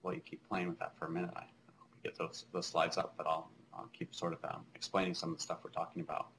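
A low-voiced man in his thirties talks through a keynote over a webcam from a small office, loose and conversational, full of ums and restarts. Faint hiss sits under the video-call audio. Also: flat delivery, fast talker, gravelly, a bit bright. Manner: conversational, many fillers and restarts. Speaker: keynote speaker. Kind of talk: live conference keynote over video link.

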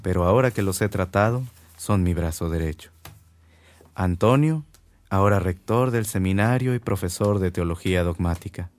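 A low-pitched, dignified bishop acted by a man speaks in a radio drama. He is solemn and benevolent, with kindly regard for his priests. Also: moderate pace, darkish tone, natural voice. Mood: kindly, reflective.

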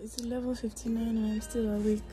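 A woman in her twenties with a Nigerian accent, chatting casually into a phone held at arm's length in her bedroom, animated and self-mocking, sounding sleepy and tired. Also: a little high, fast talker, over music. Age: 20s. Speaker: vlogger. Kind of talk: casual selfie vlog chat. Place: small bedroom indoors.

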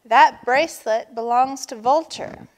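A woman's voice: fairly high pitch, measured pace. A woman voicing a concerned, moaning male walrus in a storybook, in a reverberant room. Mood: firm. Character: worried, moaning male walrus, fussy peacemaker.

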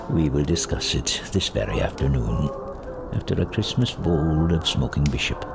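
An actor playing an elderly reformed miser, giddy, generous and exuberant, in a theatrical audio drama. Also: male voice, a bass voice, animated, unhurried, gravelly, over music, full character voice. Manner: exuberant, chuckling, mock-stern at times.

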